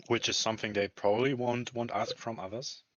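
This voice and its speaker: A man in casual conversation, speaking hesitantly and haltingly as he searches for words. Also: mid-range voice, steady tone, a bit bright. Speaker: employee talking about a work contract. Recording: muffled lo-fi, echoey room.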